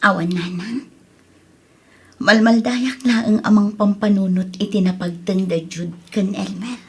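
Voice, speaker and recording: A woman's voice: medium pitch, fairly even intonation, measured pace, slightly rough. A woman playing a sorrowful, emotional adult daughter in an Ilocano audio drama. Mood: brooding. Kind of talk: Ilocano radio-style drama acting. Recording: faint music under the voice.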